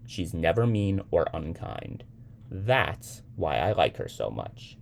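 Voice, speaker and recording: A man reads as a playful, odd young man in a literary story. He speaks with mock gravity and animated pitch, in a low, creaky voice. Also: takes it slowly, slight background noise, full character voice. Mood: teasing, playful. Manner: whimsical, mock-serious storytelling. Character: playful, eccentric young man teasing a child, edgy with adults.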